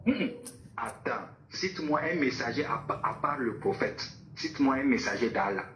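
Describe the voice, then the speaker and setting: A man's voice: medium pitch, expressive, moderate pace, fairly bright tone. A man with a West African French accent arguing insistently on a phone call, repeating his points while he is cut off. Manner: insistent, repeats himself, often interrupted. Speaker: caller in a religious debate. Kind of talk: heated phone debate.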